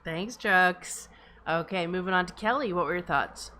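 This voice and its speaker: A woman's voice: fairly low pitch, moderate pace, pushed and bright. A woman moderating a casual online feedback discussion as host.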